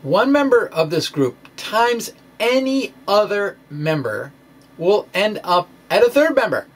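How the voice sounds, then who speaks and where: A man's voice: high-pitched, big pitch swings, a little slow. A man giving an informal science explainer in a folksy, conversational way with theatrical emphasis. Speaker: science blogger presenting own work. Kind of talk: informal explanatory lecture, dramatic reading of blog post.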